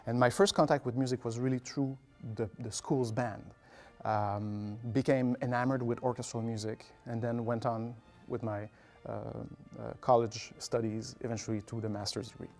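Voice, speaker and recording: A man's voice: medium pitch, fairly even intonation, normal speed, a little mellow, faint music behind. A man, an orchestra conductor, explaining things instructively with examples and analogies in interview answers. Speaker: orchestra conductor, artistic director.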